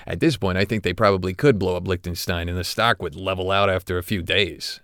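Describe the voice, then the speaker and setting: An actor playing an older, guilt-ridden retired corporate executive who confides haltingly, as in an audio drama interview. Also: male voice, sits low, a bit bright, clean tone, dry recording. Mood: wry, defensive. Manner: hesitant, confessional, halting.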